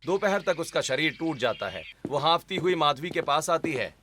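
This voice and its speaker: A man's voice: fairly high pitch, expressive, moderate pace, music in the background. A man giving scripted, dramatic narration of a story as its narrator.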